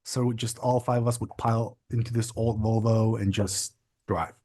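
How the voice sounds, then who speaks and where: A man's voice: lowish voice, flat delivery, normal speed, darkish tone, dry recording, studio quality. A young man from the Republic, a podcast guest telling his story in a casual, rambling way with lots of fillers. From Czech Republic. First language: Czech. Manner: rambling, restarts and stacks of fillers. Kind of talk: casual interview chat.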